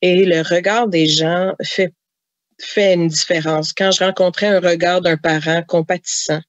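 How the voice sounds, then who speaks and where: A woman's voice: on the low side, fairly even intonation, unhurried, no echo, muffled recording. A woman with a Québécois accent, a novelist and mother, telling anecdotes in a podcast interview, chatty with many fillers and laughs.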